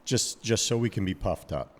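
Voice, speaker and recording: A man with a very deep, low voice co-hosts a casual podcast chat. He gropes for words, restarting sentences as he works through his thoughts. His voice trails off into a long, ringing reverb. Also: animated, a little mellow. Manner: halting, many restarts, thinking aloud. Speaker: podcast co-host.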